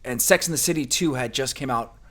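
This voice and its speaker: A man's voice: medium pitch, a little fast, pushed and bright. A man from New Jersey with a New Jersey accent, a guest on a podcast, chatting in a playful, loose way with fillers. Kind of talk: casual interview chat.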